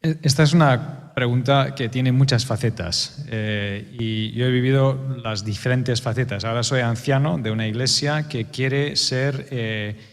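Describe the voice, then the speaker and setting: A man who grew up in Spain, a church elder, answering on a conference panel with many hesitations, building his points step by step. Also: medium pitch. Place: conference hall. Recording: reverberant hall.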